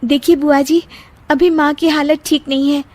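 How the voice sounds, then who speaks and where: A woman's voice: high-pitched, level tone, moderate pace, a little mellow, voice pushed up, natural voice. A woman voicing a dutiful, sensible young daughter with an earnest, comforting manner in a moral story. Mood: earnest, determined. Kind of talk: Hindi moral story dramatization.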